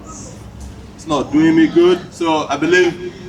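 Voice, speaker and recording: A man's voice: on the high side, steady tone, slow pace, distant mic, slight background noise, rough recording. A man hosting a community gathering, speaking hesitantly with many fillers. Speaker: event host. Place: community hall event. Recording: loud music behind.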